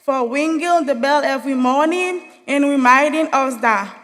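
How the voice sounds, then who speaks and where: A young woman delivers an earnest graduation speech. Her voice sits high and sounds bright and forceful. A long reverb from the big hall trails her words. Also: normal speed. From Haiti. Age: young adult. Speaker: graduating student speaker. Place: large hall.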